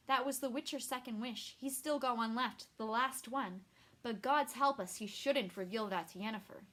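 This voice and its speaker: Woman reading a measured male scholar. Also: a little high, pushed and bright. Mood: worried.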